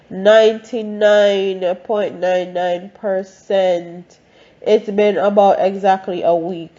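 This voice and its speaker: A woman's voice: medium pitch, mellow, dull audio. A Jamaican woman, congested from a cold, reads news stories aloud and breaks in with blunt, opinionated comments of her own. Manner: blunt, opinionated asides between read-out reports.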